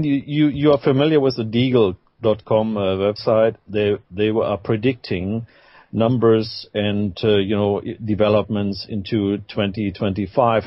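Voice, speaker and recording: Halting Swiss-accented man on a call. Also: lowish voice, no room sound. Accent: Swiss German. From Switzerland. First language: Swiss German. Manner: halting, laughs often. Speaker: radio guest. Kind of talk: casual roundtable chat. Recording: dull online call audio.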